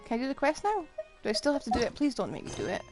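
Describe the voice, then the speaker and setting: A woman livestreaming a game in a fairly high, very animated voice. Her commentary is casual and expressive, with playful exasperation. Music plays clearly behind her. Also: racing. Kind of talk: casual live gameplay commentary.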